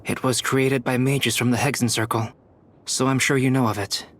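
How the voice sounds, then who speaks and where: An actor playing a calm, analytical young alchemist in a game, speaking in a measured, precise, formal manner. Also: male voice, mid-range voice, natural voice. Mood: grave, explanatory. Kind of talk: scripted English game voice acting. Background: background music.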